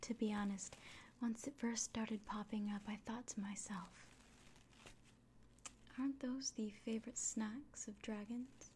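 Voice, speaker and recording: A woman voicing a kindly, earnest elven innkeeper in a gentle, breathy, unhurried whisper for an ASMR fantasy roleplay. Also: a little high, steady tone, slight background noise, natural voice. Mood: reverent, fond.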